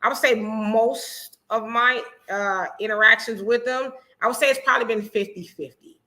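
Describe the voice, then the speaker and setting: American woman host, bright voice, reading out comments, animated and laughing a lot. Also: a little high, normal speed. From United States. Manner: animated, laughs often, reads comments aloud. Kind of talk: casual chat reading viewer comments.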